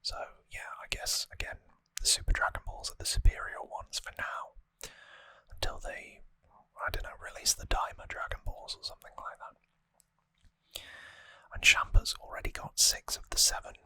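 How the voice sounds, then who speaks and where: An ASMR host whispering breathily and close to the microphone, explaining things softly and informally with some hesitation. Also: moderate pace. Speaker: host of a trivia ASMR show. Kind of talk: ASMR whispered episode recap.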